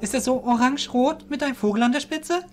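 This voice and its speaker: A man's voice: very high voice, quick pace, faint music behind, voice pushed up. A man voicing an eager, insistent male adventurer in an amateur audio drama. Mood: eager, urgent.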